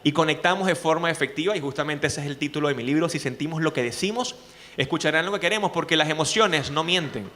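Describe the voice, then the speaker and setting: A man with a Venezuelan accent, a journalist speaking to students in an animated way, often asking rhetorical questions. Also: on the high side, fast talker. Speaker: journalist and speaking coach, guest. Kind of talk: lively talk to students.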